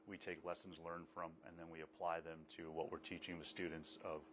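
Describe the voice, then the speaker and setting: A maritime instructor being interviewed, explaining emergency procedures in a calm, technical way. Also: male voice, low-pitched, a little fast, a little hiss or hum.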